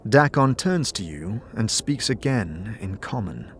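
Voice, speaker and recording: A man's voice: lowish voice, big pitch swings, measured pace, slight background noise. Man narrating a fantasy audio drama. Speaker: narrator and game master voicing all parts. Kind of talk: scripted second-person story narration.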